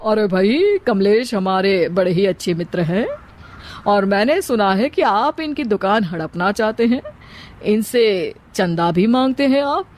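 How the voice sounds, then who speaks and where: A woman's voice: medium pitch, lively intonation, a little slow, darkish tone, lightly acted. A woman voicing a stern, authoritative male politician with a firm, warning manner in a moral story. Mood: polite, firm.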